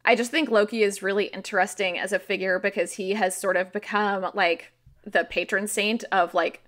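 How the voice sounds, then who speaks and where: A woman guest on a podcast with a bright, crisp-edged voice at an average pitch. She explains things casually, haltingly working out her phrasing as she goes. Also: steady tone.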